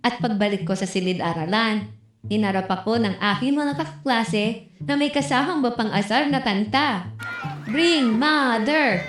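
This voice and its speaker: A woman narrating a scripted first-person story in an expressive, dramatic storytelling manner. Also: mid-range voice, normal speed. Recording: roomy echo with music behind. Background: music.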